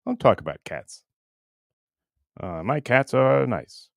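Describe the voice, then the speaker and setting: A man hosting a casual livestream, rambling and halting as he chats with viewers in a crude, jokey, profane way. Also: medium pitch, very animated, creaky, no room sound, clean studio sound. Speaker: livestream host.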